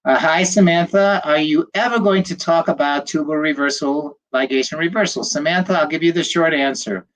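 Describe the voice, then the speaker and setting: A man's voice: a little high, moderate pace, hardly any pauses, fairly bright tone, low-quality recording. Older man, nasal from a cold, chatty. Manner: chatty and rambling, many fillers, greets viewers by name. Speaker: fertility doctor giving a livestream lecture. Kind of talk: casual livestream lecture, greeting viewers.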